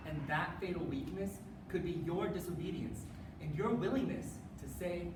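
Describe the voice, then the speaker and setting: A woman performing a rehearsed dramatic group reading as a speech competitor, measured and solemn. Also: on the low side. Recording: echoey room from far off, noisy.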